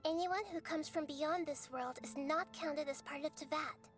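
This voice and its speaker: A woman's voice: sits high, moderate pace, over music, close to an everyday voice. A woman voicing a small, wise childlike goddess in a video game, speaking in a composed, precise and formal way. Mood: thoughtful, calm. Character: small, wise, calm childlike female deity.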